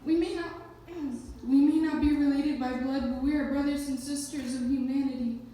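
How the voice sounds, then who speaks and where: Female poet, very mellow dark tone, measured phrasing, distant in an echoey hall. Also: sits high, steady tone. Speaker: slam poet. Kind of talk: spoken-word poetry performance. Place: echoey indoor event space, live performance. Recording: very echoey room, distant, faint noise.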